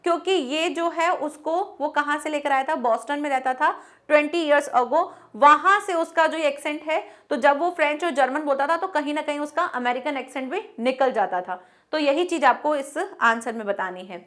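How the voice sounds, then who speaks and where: A woman teacher, teaching an online class. She dictates answers slowly as she writes, then explains them in Hindi mixed with English. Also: high voice, a bit bright. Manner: slow dictation while writing, mixing Hindi and English.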